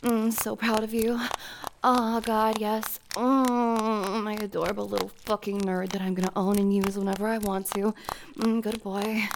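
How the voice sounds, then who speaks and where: A woman playing a dominant, teasing boss in an intimate adult audio roleplay, breathy and often dropping into whispers. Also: medium pitch, little pitch movement, a little slow, background noise, lightly acted. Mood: commanding, aroused. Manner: breathy delivery with sighs and drops into whispers.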